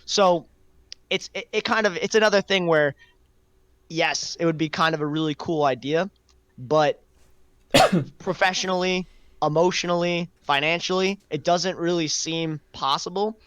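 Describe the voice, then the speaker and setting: A man's voice: a little high, measured pace, a bit bright, smooth, no echo. A young man talking casually and reflectively on a podcast, feeling his way through his phrases. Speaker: podcast host.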